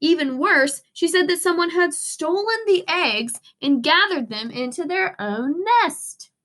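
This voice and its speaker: A woman teacher reading a storybook aloud to children, expressive and instructive, pausing to ask questions. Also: extremely high pitch.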